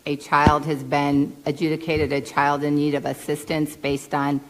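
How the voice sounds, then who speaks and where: A woman with a notably low voice speaks in a large hearing room with a long reverb. She talks precisely and legalistically, pressing on points of law with pointed questions. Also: flat delivery, moderate pace. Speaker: hearing participant discussing law. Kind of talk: formal hearing discussion.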